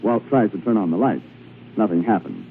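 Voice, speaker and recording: A man's voice: fairly low pitch, a little fast, narrow, tinny audio, a little hiss or hum. An American man working as a radio announcer in the scripted style of the 1940s, on an old broadcast recording.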